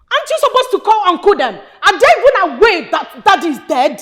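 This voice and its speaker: Furious young daughter, Nigerian accent, very high voice, lively swings, sharp scolding tone. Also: female voice, a little fast, full character voice. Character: angry young woman confronting her family. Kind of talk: film drama acting.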